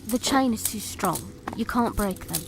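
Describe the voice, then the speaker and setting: A woman's voice: medium pitch, expressive, unhurried, creaky, background noise. A woman playing a scornful, defiant captive with a cutting way of talking in an audio drama. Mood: discouraged.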